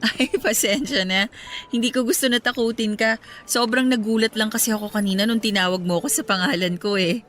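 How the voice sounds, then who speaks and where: A woman playing a gentle, wistful young working woman in grief, soft-spoken and apologetic, in a radio drama. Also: on the high side, steady tone, brisk, natural voice.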